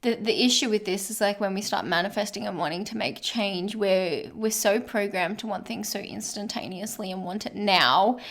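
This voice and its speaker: A woman co-hosting a podcast, a coach talking in a casual, animated way and often quoting what she or others said. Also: medium pitch. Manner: animated, quick asides, quotes herself.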